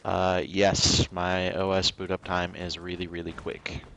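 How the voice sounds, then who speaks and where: A man giving casual, low-key livestream commentary on a game, musing aloud in a hesitant way. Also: level tone, measured pace, a bit bright, slight background noise. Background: game music.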